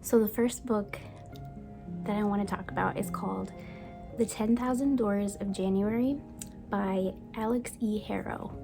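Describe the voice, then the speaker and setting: Slow, gravelly-voiced young woman vlogger. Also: mid-range voice, fairly even intonation. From Brazil. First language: Portuguese. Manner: gentle, drops into soft whispers. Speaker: book vlogger. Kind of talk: casual book review vlog. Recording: music playing behind the voice.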